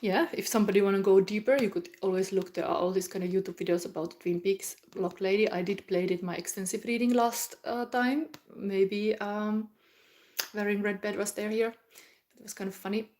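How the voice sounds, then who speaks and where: The Finnish woman you would find in an online tarot video, thinking aloud card by card, groping for words in halting second-language English. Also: mid-range voice, steady tone, a little mellow. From Finland. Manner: rambling, searches for words, halting non-native English. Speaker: tarot reader hosting a psychic reading. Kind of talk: casual spontaneous tarot reading to viewers.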